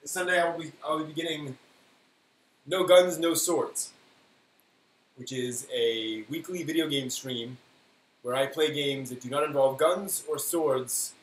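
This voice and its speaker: A man's voice: on the high side, fairly even intonation, a little slow. A man hosting a casual live stream, chatting in an offhand way as he thinks aloud. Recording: roomy echo, faint hiss.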